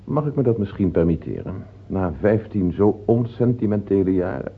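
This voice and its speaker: An actor the playing a weary, cynical chancellor in a radio drama, answering his king with measured, ironic politeness. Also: lowish voice, slightly rough. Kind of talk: theatrical radio drama acting. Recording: dull, lo-fi old radio recording.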